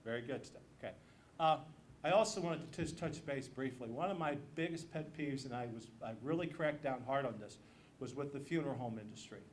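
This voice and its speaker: A man's voice: mid-range voice, brisk. Man, American accent, mid-range voice, campaign speech, emphatic repetition, slight background noise. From Missouri, United States. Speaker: state legislator and candidate. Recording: noisy live event room recording.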